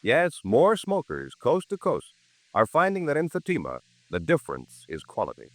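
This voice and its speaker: A man's voice: a little high, wildly swooping pitch, moderate pace, no echo. A man announcing a 1950s radio commercial with polished, emphatic salesmanship. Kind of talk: 1950s radio advertising read.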